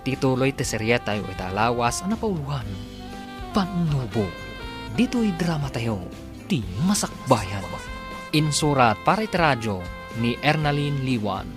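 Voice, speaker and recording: A man reading the closing credits of a radio drama as a formal, measured announcer. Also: mid-range voice, big pitch swings, music in the background. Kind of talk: scripted broadcast announcing.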